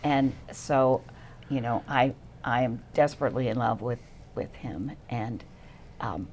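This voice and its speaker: Sixties lady, really deep voice for a woman, cheerful chat, soft hum behind. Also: measured pace. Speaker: stage actress guest. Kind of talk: casual interview chat.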